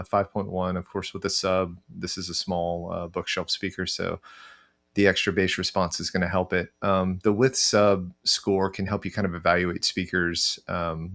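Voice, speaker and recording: A middle-aged man with a low voice and plenty of fry walks through software on a screen share. His delivery is casual and halting, as he thinks aloud, and stays within a fairly flat pitch range. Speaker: software tutorial presenter. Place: indoor room, webcam screen recording.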